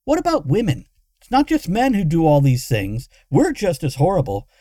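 Man voicing a snappy, high-pitched adventurer woman. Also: huge pitch swings, normal speed, mellow, no echo, studio quality. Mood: mocking. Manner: sarcastic, exasperated, snappy. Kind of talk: dramatised fantasy audiobook.

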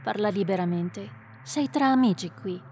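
A woman voicing a calm female companion and healer who leads the group, in an Italian radio drama, speaking in a composed, authoritative way. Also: medium pitch, moderate pace, slight background noise, natural voice.

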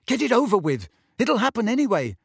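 A man's voice: very high-pitched, huge pitch swings, fairly quick, vocal fry, slightly rough, no room sound, clean studio sound, voice pushed up, heavily acted. A man voicing an insistent teenage boy in an audiobook, urgent and full of questions. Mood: urging. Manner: urgent, persistent.

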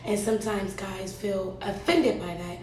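A woman's voice: medium pitch, measured pace, darkish tone, reverberant, slight background noise. A woman speaking plainly and conversationally in a casual panel discussion.

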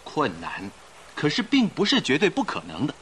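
An actor playing a confident, theatrical gentleman amateur detective in a radio drama, explaining things emphatically. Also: fairly high pitch, huge pitch swings, moderate pace, a little hiss or hum. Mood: deducing.

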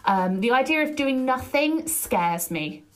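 A woman hosting her own podcast, talking alone in an energetic, chatty way with a loud voice and frequent ums. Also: sits high, measured pace. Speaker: podcast host. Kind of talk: solo podcast monologue.

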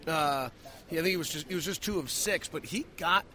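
A male sports host delivers post-game commentary in a bright, forward voice that sits a bit high. There is a light bed of noise behind him as he talks through the analysis. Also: brisk. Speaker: sports podcast host and play-by-play broadcaster.

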